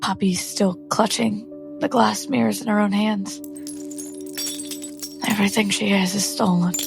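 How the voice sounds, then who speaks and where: A woman's voice: mid-range voice, fairly even intonation, a little slow, creaky. A woman player in a tabletop roleplay, dramatically narrating her character's actions in the third person. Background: music.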